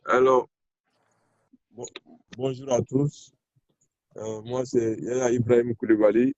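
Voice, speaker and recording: Male lab head, low flat delivery, dark dry lo-fi call audio; formal. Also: slow, dry recording. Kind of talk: self-introduction on a video call.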